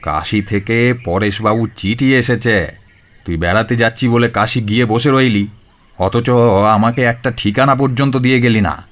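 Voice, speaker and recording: A man's voice: medium pitch, moderate pace, a bit bright, lightly acted. A man playing a pious, pompous, insistent elder brother in an audio story, nagging and scolding.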